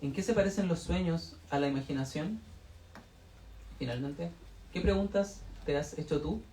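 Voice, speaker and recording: A man moderating a book event, reading discussion questions to the audience in a relaxed, hesitant way with lots of fillers. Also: a little high, moderate pace. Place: bookshop event room. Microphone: distant room mic. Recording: echoey room, voice far from mic, faint noise.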